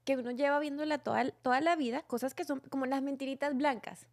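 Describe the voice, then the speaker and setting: A young woman talking as a guest about her faith, reflective and halting, restarting her sentences often. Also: high-pitched, steady tone. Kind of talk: casual faith conversation.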